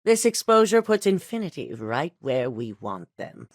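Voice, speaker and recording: A woman's voice: very deep voice, very animated, normal speed, exaggerated voice. An actress playing a cold, commanding female corporate executive in a video game, speaking in a curt, businesslike way. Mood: cold authority.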